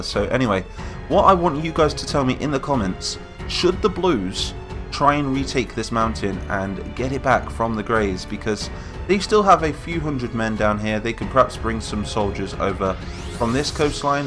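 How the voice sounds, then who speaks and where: Man with a head cold, nasal, mid pitch; rambling game commentator over soft music. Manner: chatty, rambling, self-correcting. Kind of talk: casual gameplay commentary.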